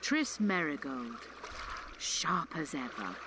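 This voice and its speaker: An actress playing a shrewd, self-assured sorceress in a video game, with an imperious and wry manner. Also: female voice, deep voice, big pitch swings, slow pace, full character voice. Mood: wry, teasing. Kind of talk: video game cutscene acting. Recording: game dialogue over clear water noise.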